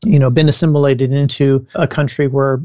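A man's voice: mid-range voice, little pitch movement, a little fast, dark tone, no room sound, dull audio. A man with an American accent, a guest giving frank, emphatic opinions in a political interview and thinking aloud as he goes. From United States.

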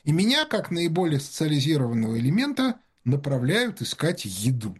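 A man's voice: on the high side, big pitch swings, brisk, husky. A man telling rambling anecdotes in casual talk-show conversation, full of fillers and restarts. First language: Russian.

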